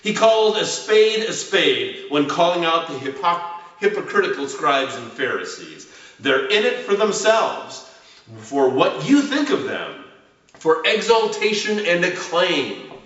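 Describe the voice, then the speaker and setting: Slow, echoey male preacher with swooping emphasis. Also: a little high, sing-song, a bit bright. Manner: emphatic, deliberate phrasing with dramatic pauses. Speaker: pastor preaching. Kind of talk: sermon. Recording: roomy echo, distant mic, slightly muffled.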